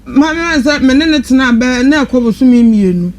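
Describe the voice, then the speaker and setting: A woman's voice: sits high, expressive, brisk, a little mellow. A woman with a Ghanaian accent giving a personal account as a guest, answering questions on a talk show. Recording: clearly noisy live radio recording.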